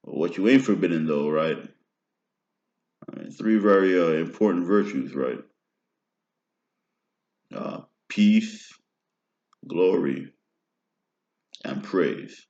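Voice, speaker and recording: A man's voice: low voice, slow pace, pauses often, darkish tone. A man in his thirties with an American accent, talking in a meandering personal monologue and often checking in with "right?". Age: 30s. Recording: roomy, dull lo-fi recording.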